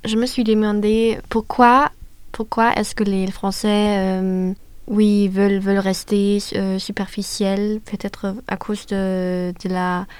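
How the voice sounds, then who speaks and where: Woman asking questions in a chat, slow, lots of euh, smooth voice. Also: mid-range voice, flat delivery, dry recording. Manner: hesitant, many fillers and repeats. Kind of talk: casual group discussion.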